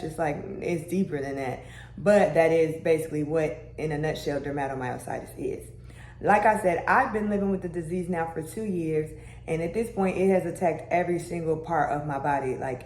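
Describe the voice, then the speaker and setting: Young woman vlogger, low deep voice, conversational and chatty, recorded in an echoey room. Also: darkish tone. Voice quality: deeper voice. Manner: chatty, conversational, with "y'all" and "baby" asides. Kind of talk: casual health vlog. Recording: echoey room with faint background hum.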